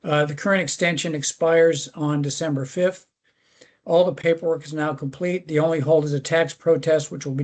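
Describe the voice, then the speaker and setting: A man speaking formally and procedurally at a public commission meeting, halting and correcting himself as he presents cases. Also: fairly high pitch, darkish tone.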